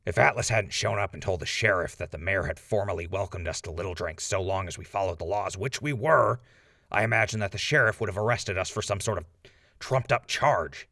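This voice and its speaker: Gravelly, low-voiced defiant man acting a ghoul defendant. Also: a little fast, sharp tone, no room sound, studio quality, exaggerated voice. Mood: insistent. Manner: impassioned, rambling, argumentative. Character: defiant, outspoken male ghoul defendant on the witness stand. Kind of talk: post-apocalyptic audio drama acting.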